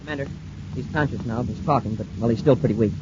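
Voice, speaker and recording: An actor playing an eager, earnest young space cadet sidekick, deferential and excitable, in an old-time radio serial. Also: male voice, medium pitch, fast, background noise, natural voice. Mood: urgent. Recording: muffled old radio recording.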